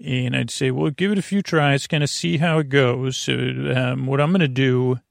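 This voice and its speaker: A man with a creaky, lulling voice hosting a bedtime podcast in a meandering, halting way, often interrupting himself. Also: medium pitch, no echo, clean studio sound. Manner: soothing, lulling. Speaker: podcast host. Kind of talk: rambling bedtime sleep podcast monologue.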